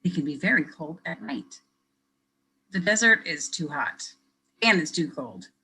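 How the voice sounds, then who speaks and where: Husky-voiced woman reading aloud to children. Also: low-pitched, unhurried. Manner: gentle, expressive storybook reading with questions to young listeners. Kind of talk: children's read-aloud with teacher commentary.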